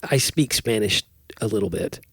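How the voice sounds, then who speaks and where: A man's voice: on the low side, normal speed, husky, no echo. American man, dry close-miked podcast chat. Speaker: podcast co-host. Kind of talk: casual chat. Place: small home room, indoors. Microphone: close podcast microphone on boom arm.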